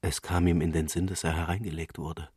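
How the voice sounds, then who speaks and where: A man's voice: low-pitched, little pitch movement, fairly quick, a little mellow, husky, no room sound, clean studio sound. A man reading literary prose as a scripted narrator.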